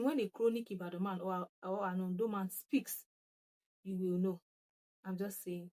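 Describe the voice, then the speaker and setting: A young Nigerian woman with a Nigerian accent hosts her own channel and talks straight to her viewers. She reads comments aloud and thinks them through in a chatty, reflective way, often restarting her sentences and breaking into laughter. Her voice sits at an ordinary pitch for a woman. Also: darkish tone, low-quality recording. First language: Yoruba. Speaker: channel host. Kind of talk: casual talk to camera, reading comments.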